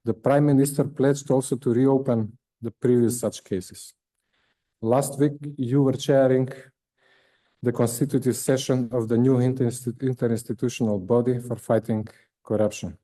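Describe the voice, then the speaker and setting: A man with a Macedonian accent moderating a formal panel, reading prepared remarks in a measured way. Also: medium pitch, steady tone, warm tone. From North Macedonia.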